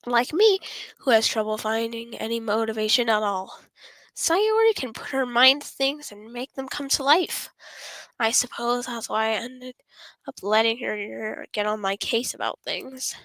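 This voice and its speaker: A woman's voice: on the high side, a little slow, fairly bright tone, no echo, rough recording. A woman streamer reading visual novel dialogue and narration aloud expressively, stumbling over some phrases.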